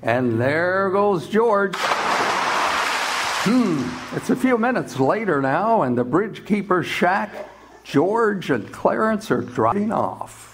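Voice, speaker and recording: Grave slow-talking male narrator. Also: high voice, very animated. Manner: grave, dramatic. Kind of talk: scripted radio drama narration.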